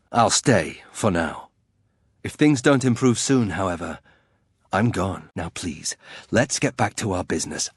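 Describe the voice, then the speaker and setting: A man's voice: on the low side, slightly rough, dry recording, clean studio sound. An actor playing a proud, brooding young nobleman turned reluctant warrior in a fantasy video game, formal, terse and bitter.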